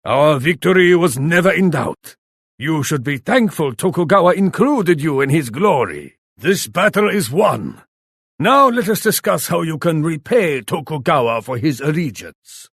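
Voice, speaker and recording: An actor playing an arrogant, imperious Japanese warlord in a video game, with a haughty and commanding manner. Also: male voice, a little high, very animated, moderate pace, husky, dry recording, clean studio sound, full character voice. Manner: haughty, commanding, speaks of himself in third person. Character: arrogant, imperious Japanese warlord who scorns allies and enemies. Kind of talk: video game villain-rival voice lines.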